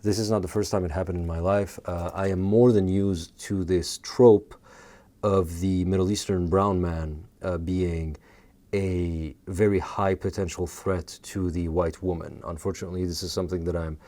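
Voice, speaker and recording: A deep, mellow male voice, a native French speaker talking in English. He delivers a personal monologue to camera as a video essay host, earnest and measured, leaning on "you know" and "like" as he thinks aloud. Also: level tone. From Quebec. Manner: earnest and measured, with frequent fillers.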